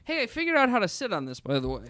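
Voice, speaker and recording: Animated, higher-voiced joking man. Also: racing, dry recording, clean studio sound. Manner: joking, teasing. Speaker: podcast co-host and musician. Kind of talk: casual banter.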